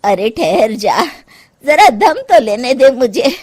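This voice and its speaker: Woman acting a slow, animated, grumbling mother-in-law. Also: on the high side, full character voice. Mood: exasperated. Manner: grumbling, sarcastic. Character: exasperated, wily older mother-in-law. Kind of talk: Hindi cartoon comedy acting.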